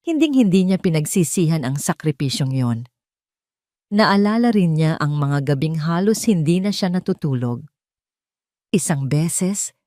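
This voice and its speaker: A woman narrating an emotional drama story in a measured, scripted way. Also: deep voice, darkish tone, no echo, studio quality.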